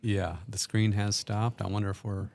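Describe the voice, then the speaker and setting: A man co-commentating on the action in a casual play-by-play style, walking through each shot with frequent ums and hesitations. His voice is very deep and carries a gravelly rasp. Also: level tone, normal speed, darkish tone. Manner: hesitant, many ums, describes play step by step.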